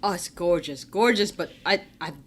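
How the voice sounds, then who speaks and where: A woman with a low, deep voice and a slight Chinese accent talks in a relaxed, chatty way. She is middle-aged and laughs often as she talks. Faint background noise sits under her voice. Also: moderate pace. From Hong Kong, raised in Trinidad and Tobago. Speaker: stormwater manager, guest. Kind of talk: casual interview chat.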